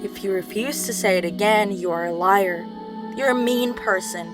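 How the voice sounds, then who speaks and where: An actress playing an anguished, defiant mythical Cretan woman in a poetic audio drama, with intense, deliberate delivery. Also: medium pitch, music in the background, lightly acted. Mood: angry, bitter.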